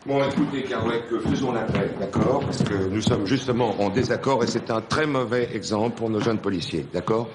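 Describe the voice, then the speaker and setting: An actor playing a proud, hot-tempered police instructor, condescending and blustering, in naturalistic film style. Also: male voice, lowish voice, normal speed, hardly any pauses, very noisy, natural voice. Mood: forced politeness. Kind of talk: naturalistic French film acting.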